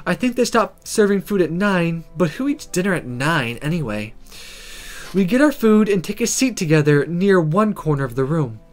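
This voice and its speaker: This is a casual let's player with a very lively, swooping delivery. They read a story game aloud and toss in their own animated reactions, with music playing behind. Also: medium pitch. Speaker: let's player reading a visual novel aloud. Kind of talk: casual gaming commentary and read-aloud narration.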